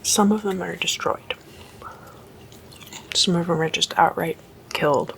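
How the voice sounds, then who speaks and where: A woman doing whispered ASMR as a host, hushed and deliberate, mostly in breathy, unvoiced whisper. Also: on the low side, slight background noise. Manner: slow, hushed, deliberate delivery.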